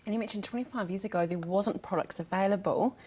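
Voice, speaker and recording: An upbeat woman with a New Zealand accent hosts a local TV interview show. She speaks in an ordinary middle register and keeps her guests talking with warm prompts. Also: mid-range voice, fast talker, breathy edge. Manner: upbeat, encouraging. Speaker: host.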